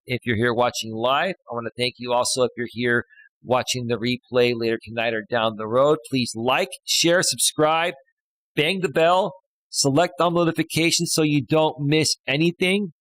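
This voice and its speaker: A loud-voiced man in his thirties talks to his live-stream viewers in a loose, rambling way, full of fillers and "right?" tags. His pitch sits in an ordinary middle range. He is close on a studio mic in a dry space with no room sound. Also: normal speed, no echo, poor audio. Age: 30s. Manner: chatty, filler-heavy, keeps adding "right?". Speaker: life coach hosting a live stream. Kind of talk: casual live-stream talk, reading chat posts. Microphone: studio dynamic microphone.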